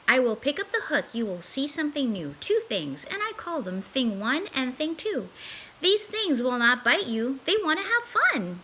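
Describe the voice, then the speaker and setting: A woman's voice: sits high, big pitch swings, normal speed, pushed and bright, a little hiss or hum, exaggerated voice, low-quality recording. A woman voicing a playful, confident male trickster cat from a children's storybook, with a breezy showman's manner. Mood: showy. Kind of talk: children's storybook read-aloud.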